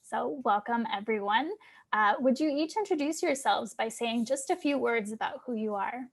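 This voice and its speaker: A woman with a slightly high voice acts as host. She delivers a prepared introduction, the kind used to open an event and present guests. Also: normal speed, creaky.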